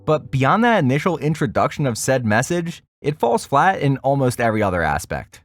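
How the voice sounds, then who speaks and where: A man's voice: mid-range voice, very animated, fairly quick, no echo, studio quality. A man delivering a scripted review as an online video host, wry and opinionated, with casual slangy asides. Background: music.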